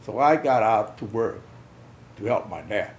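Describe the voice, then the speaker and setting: An older man from South Texas recalling his youth in a halting way, often repeating words. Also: fairly low pitch, expressive, darkish tone. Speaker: interviewee, veteran. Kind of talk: casual recollection.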